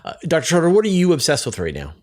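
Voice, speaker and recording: A man's voice: on the high side, wildly swooping pitch, rapid-fire, a little mellow. A man hosting a science interview, talking in a chatty, enthusiastic and wordy conversational way. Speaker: interview host, science journalist.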